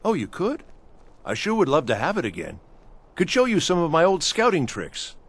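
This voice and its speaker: An actor playing a bitter, down-on-his-luck old army veteran in a fantasy game, with a husky, rough voice. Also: fairly high pitch, wildly swooping pitch, moderate pace, a bit bright, a little hiss or hum. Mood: eager, wistful. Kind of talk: fantasy game character acting.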